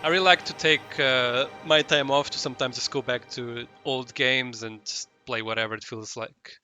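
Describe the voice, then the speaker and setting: A man's voice: medium pitch, fairly even intonation, normal speed, bright, forceful tone, faint music behind. A man doing casual live commentary as a game streamer, rambling and hesitant as he searches for his words.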